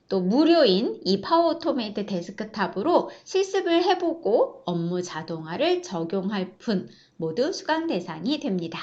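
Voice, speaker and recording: A woman instructor narrating an e-learning course, explaining step by step in a polite way, on a slightly dull recording. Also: high-pitched.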